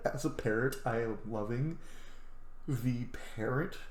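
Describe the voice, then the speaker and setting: A man's voice: medium pitch, slow pace, a little mellow. A man in his thirties giving casual, enthusiastic game commentary, musing aloud and swearing casually. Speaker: gaming streamer, let's play host. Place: indoor room at home. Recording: roomy echo, clear background noise.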